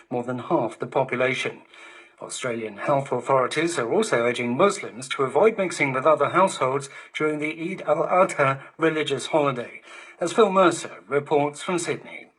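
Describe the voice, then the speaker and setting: A man's voice: fairly high pitch, fairly bright tone, low-quality recording. A man reading formal scripted radio news bulletins, received over digital shortwave radio. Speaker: news presenter.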